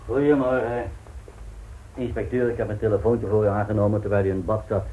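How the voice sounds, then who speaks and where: Low, mellow village inn man man acting. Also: little pitch movement, quick pace, slight background noise, lightly acted. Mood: obliging. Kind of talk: Dutch radio play acting. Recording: dull old radio recording.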